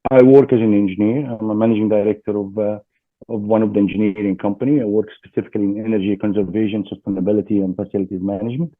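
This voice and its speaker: A man from Egypt with an Egyptian accent, a guest in a casual interview, talking haltingly with many fillers over a video call. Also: on the low side, flat delivery, no echo. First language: Arabic. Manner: hesitant, many fillers and restarts. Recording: dry tinny phone-like call audio.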